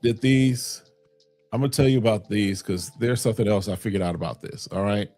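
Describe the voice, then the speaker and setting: A man with a fairly low voice hosts a relaxed livestream, greeting viewers and answering their questions off the cuff. His talk is loose and full of fillers and restarts. Faint music plays quietly underneath. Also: a little fast. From Chicago, South Side. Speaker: fragrance livestream host. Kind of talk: casual livestream chat reading comments.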